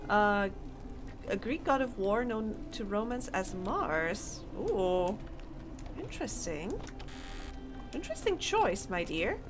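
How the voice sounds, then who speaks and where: Lively-voiced woman streaming a game. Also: medium pitch, takes it slowly, pauses often, over music. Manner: chatty, playful, thinking aloud. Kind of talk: gameplay commentary.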